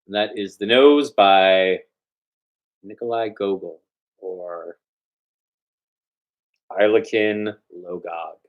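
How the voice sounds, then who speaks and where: A man's voice: low-pitched, slow pace, pauses often, narrow, tinny audio. A man reading a story aloud as host, with a theatrical, playful storytelling delivery. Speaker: host and reader of a storytime show. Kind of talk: dramatic storytelling read-aloud.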